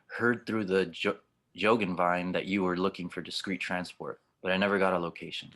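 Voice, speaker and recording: Casting: wry, streetwise cyborg star pilot played by a man, low voice, teasing and probing, sci-fi audio drama. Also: flat delivery, moderate pace, fairly bright tone. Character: gruff cynical cyborg spaceship skipper, streetwise, teasing. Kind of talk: fan audio drama table read.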